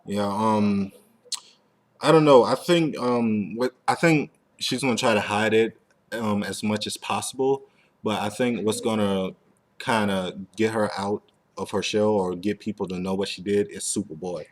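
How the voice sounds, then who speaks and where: A man with a fairly low voice hosts a fan podcast. He talks in a loose, informal way and drops in slang as he goes. The delivery is that of casual conversation. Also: measured pace. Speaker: podcast host. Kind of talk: casual fan discussion of an animated series.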